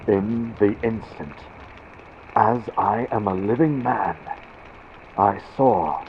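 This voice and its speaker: A man narrating a gothic horror story theatrically and deliberately, moving between hushed and loud delivery, with a vintage radio sound from a built-in computer microphone. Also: fairly low pitch. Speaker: audiobook narrator. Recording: tinny phone-like built-in computer mic, noisy. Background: faint storm-like ambient sound effects.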